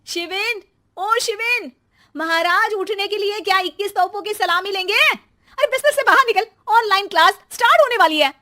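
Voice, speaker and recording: A woman voicing an exasperated, sarcastic mother who nags and shouts in an animated cartoon story. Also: normal speed. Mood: shouting, impatient. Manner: shrill shouting, sarcastic nagging. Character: exasperated, scolding Indian mother and housewife, sarcastic.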